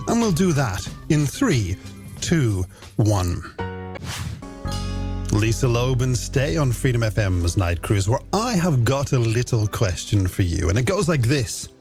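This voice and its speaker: A man's voice: medium pitch, big pitch swings, measured pace. An Irish man presenting a late-night music radio show, chatting companionably to listeners in scripted links. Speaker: radio host. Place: radio studio. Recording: music bed behind the voice.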